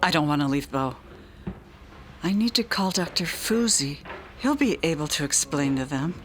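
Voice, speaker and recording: An actress playing a distraught, trusting wife in an audio drama, anxious and hesitant. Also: female voice, low voice, lively intonation, moderate pace, slight background noise. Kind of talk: naturalistic audio drama acting.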